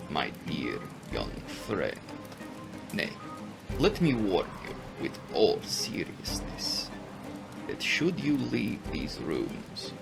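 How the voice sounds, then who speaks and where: A man voicing a courteous, menacing old vampire nobleman in a dramatic audiobook, with slow, deliberate, ominous formal phrasing. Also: lowish voice, gravelly, exaggerated voice. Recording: music behind the voice.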